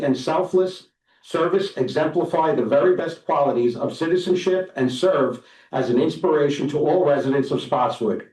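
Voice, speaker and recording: A councilman reading a formal proclamation aloud at a council meeting, steady with small restarts. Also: fairly high pitch. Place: council chamber. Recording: echoey room from far off.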